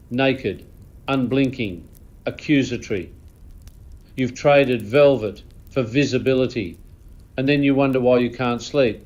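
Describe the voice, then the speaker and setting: Casting: male actor as aging, haughty lord, mid-pitched and unhurried, theatrical and world-weary, for a comic monologue. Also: darkish tone, highs cut off, close to an everyday voice. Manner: pompous, florid, theatrical, disdainful. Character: pompous, world-weary old aristocrat lamenting lost elegance. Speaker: host.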